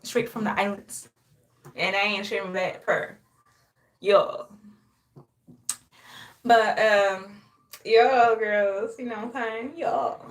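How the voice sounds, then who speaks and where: A woman's voice: mid-range voice, fairly even intonation, slow pace, pauses often. A young woman from Haiti chatting casually on a live stream, sassy, boastful and playful, often laughing. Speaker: livestreamer.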